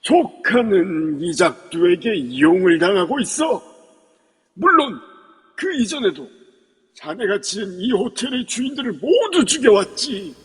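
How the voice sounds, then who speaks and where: A man's voice: high-pitched, big pitch swings, measured pace, husky, full character voice. A man voicing the spirit of a dead emperor who has taken over a living man, speaking in stern, archaic royal speech. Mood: accusing. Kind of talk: Korean fan audio drama acting. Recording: echoey long reverb.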